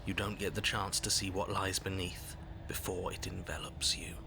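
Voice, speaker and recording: A grim horror game narrator in a low register. He speaks in a hushed, breathy, dramatic way, with clearly noisy ambience behind him. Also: little pitch movement, normal speed, full character voice. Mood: grim, foreboding. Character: grave, ominous male narrator of a horror story. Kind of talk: second-person scripted horror game narration.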